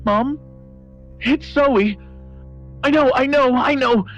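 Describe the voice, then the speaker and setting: A woman playing a frightened, pleading woman on a phone call in a radio drama, halting and repeating herself. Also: high voice. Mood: anxious, placating. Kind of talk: acted radio drama dialogue. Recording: dull lo-fi audio with music.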